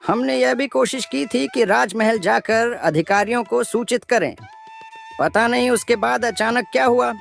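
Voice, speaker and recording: A man's voice: very high-pitched, a little slow, gravelly, faint music behind, exaggerated voice. Man acting anxious village headman explaining troubles. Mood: anxious, grave. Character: anxious village headman reporting a bandit's cruelty. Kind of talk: animated moral story dialogue.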